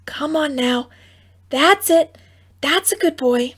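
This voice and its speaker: A woman's voice: sits high, slow, pitched above the performer's own voice. A woman voicing an eager, pleading little farm girl in a fairy tale audiobook. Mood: coaxing. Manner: pleading, excitable.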